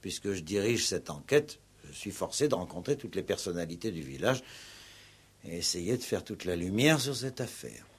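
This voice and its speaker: An actor in a 1960s French radio play, playing a patient police commissioner who questions people politely and in measured phrases. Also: male voice, low voice, very animated, breathy edge, full character voice. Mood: polite insistence. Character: patient, courteous, probing male police commissioner.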